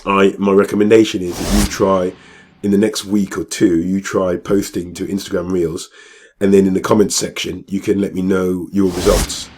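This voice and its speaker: A man whose voice is hoarse and croaky from a cold, sitting very low in pitch. He talks casually through what is on his screen, hesitating often with fillers and restarting his sentences. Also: little pitch movement, moderate pace, darkish tone. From England. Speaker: business vlogger. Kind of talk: screen-share walkthrough talk.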